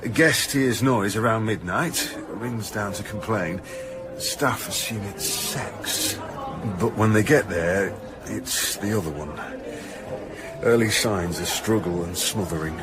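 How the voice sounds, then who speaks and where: Uniformed officer, deferential, clipped phrases, unhurried, fairly low voice, party chatter behind. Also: male voice, over loud music. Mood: businesslike. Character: deferential, matter-of-fact male uniformed police officer. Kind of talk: naturalistic radio drama acting.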